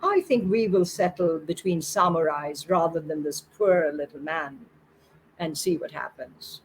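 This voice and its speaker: A woman voicing a calm, wise old male samurai with a measured, dignified delivery. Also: sits low, a little hiss or hum, poor audio. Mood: quietly firm.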